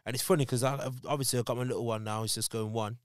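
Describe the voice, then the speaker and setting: Male guest, laid-back storyteller, smooth clear voice, dry studio mic. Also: mid-range voice, very fast, no echo. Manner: laid-back storytelling, animated when excited. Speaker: podcast guest. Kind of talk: casual chat. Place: podcast studio. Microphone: boom-arm studio mic.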